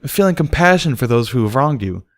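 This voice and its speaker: A man's voice: medium pitch, animated, brisk, pitched above the performer's own voice, natural voice. A man voicing a stern, reproachful female pony schoolteacher in a story reading. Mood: stern, disapproving.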